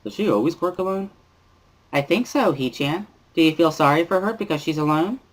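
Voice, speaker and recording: A man voicing a bubbly, giggly teenage schoolgirl with an excitable, lilting sing-song delivery in a visual novel reading. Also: a little high, a little fast, voice pushed up, natural voice. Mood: hesitant. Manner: excitable, playful.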